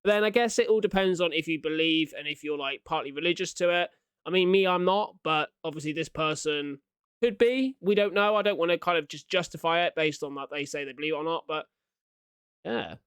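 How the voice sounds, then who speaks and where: A man's voice: fairly high pitch, brisk, a bit bright, clear, resonant tone, dry recording, clean studio sound. A man giving casual, questioning reaction commentary as a video host, in colloquial speech.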